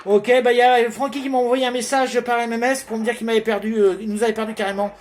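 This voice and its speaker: Very high-pitched man on amateur radio. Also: little pitch movement, fast, slight background noise. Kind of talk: casual radio contact chat.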